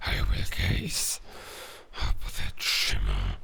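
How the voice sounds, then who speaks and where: A man voicing a weary, melancholic dying werewolf in an audio drama, speaking slowly and solemnly in a gravelly, breathy growl. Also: a bass voice, wildly swooping pitch, sharp tone, heavily acted. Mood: resigned, wistful. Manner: slow, solemn, poetic. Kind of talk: slow dramatic audio roleplay monologue.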